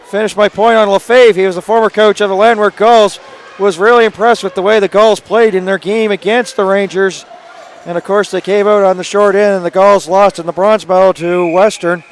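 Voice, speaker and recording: A male play-by-play announcer with a raspy, hoarse voice calling a live hockey game from an arena booth, quick and rising to excited calls on scoring chances. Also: high voice. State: hoarse from days of calling games.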